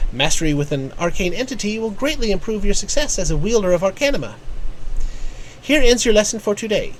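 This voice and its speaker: A woman's voice: medium pitch, a little hiss or hum, close to an everyday voice, rough recording. A performer voicing a bookish young woman, a precise and absent-minded arcanist tutor in a fantasy game, speaking formally and wordily. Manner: formal, verbose, analytical. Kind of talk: reading game character dialogue aloud.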